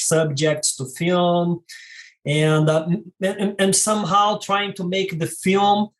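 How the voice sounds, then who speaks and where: A man in his forties from Brazil with a Brazilian Portuguese accent, a documentary filmmaker talking as a guest in an unhurried, halting way full of fillers and restarts. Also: fairly high pitch. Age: 40s. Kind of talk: casual interview talk.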